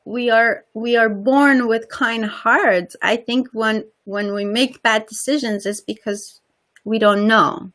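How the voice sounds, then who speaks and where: A woman's voice: on the high side, fairly even intonation, slow, fairly bright tone. A woman with a slight Persian accent, a guest on a casual interview, talking earnestly and often repeating words while she searches for them.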